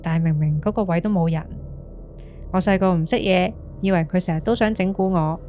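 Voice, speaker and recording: A woman's voice: fairly low pitch, fairly quick, warm tone, a little hiss or hum, lightly acted. An actress with a Hong Kong Cantonese accent playing an earnest young woman recounting a friend's eerie experience in a ghost-story podcast. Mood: uneasy, reflective. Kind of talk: scripted ghost-story monologue.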